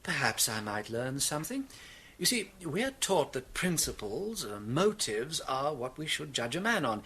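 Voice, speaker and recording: An actor playing a gentle, inquisitive priest detective in a radio drama, hesitant and courteous in manner. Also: male voice, a little high, big pitch swings, unhurried, husky, heavily acted. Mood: mild, thoughtful. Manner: hesitant, courteous, mildly probing.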